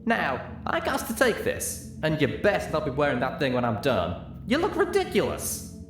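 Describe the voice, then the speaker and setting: Guy doing a folksy older pony lady for a story, worked up and emphatic, way high, big swings, roomy sound. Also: wildly swooping pitch, moderate pace, background noise. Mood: stern, dismissive. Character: furious, heartbroken older pony mare, country-talking ministry boss.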